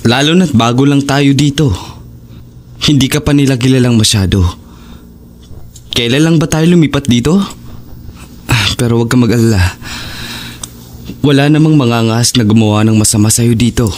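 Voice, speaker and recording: An actor playing a calm, reassuring husband and father in an audio horror drama. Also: medium pitch, normal speed, pauses often, close to an everyday voice.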